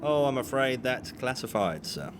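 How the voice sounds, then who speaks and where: An actor playing a discreet, courteous Scotland Yard detective and bodyguard in an audio drama, polite and official. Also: male voice, mid-range voice, very animated, moderate pace, close to an everyday voice. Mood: guarded, courteous. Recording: clear background vehicle noise.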